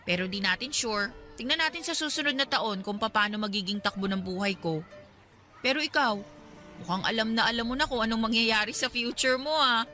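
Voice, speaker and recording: A friendly young woman talks as if to an old pal in a radio drama. Her voice is bright and clear-cut, set over a faint music bed. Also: a little high, a little fast. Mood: curious, casual. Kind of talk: Tagalog radio drama dialogue.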